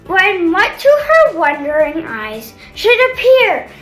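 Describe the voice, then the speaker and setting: A young girl reading a picture book aloud with chatty asides, in an expressive sing-song manner. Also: extremely high pitch, slow. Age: child, older sister of a kindergartner. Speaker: storybook reader. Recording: roomy echo, faint background music.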